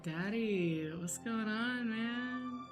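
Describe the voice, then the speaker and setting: A woman's voice: on the high side, very slow, faint music behind. A woman playing a spaced-out, whiny teenage son in a parody radio commercial. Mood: dazed.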